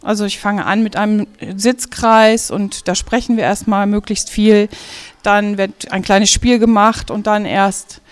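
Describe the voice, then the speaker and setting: A woman's voice: mid-range voice, steady tone, a little slow, smooth, no echo. Patient woman teacher, dry close sound. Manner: patient, explanatory. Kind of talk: interview answers.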